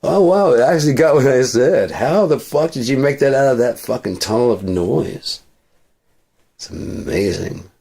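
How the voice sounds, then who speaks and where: A man's voice: mid-range voice, animated, normal speed, vocal fry, mellow. A man talking casually on a livestream, rambling and swearing freely, into a processed studio microphone.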